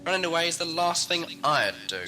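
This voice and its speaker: A man's voice: fairly high pitch, expressive, a little fast, pushed and bright, faint music behind. A man playing an eccentric, scatterbrained time-traveller in an audio drama, wry, breezy and flippant. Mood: grave, cutting. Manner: wry, breezy, talking to himself. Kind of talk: fan audio drama acting.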